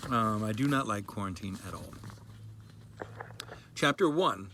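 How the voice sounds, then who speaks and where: A man's voice: fairly low pitch, animated, measured pace, bright, forceful tone. A man chatting casually to camera as a father presenting his child's story. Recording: clearly noisy background.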